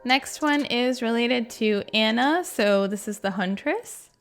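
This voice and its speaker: Casual, chatty woman over soft music. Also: a little high, measured pace. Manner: informal, chatty, frequent "like". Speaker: gaming video creator. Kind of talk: casual reaction commentary.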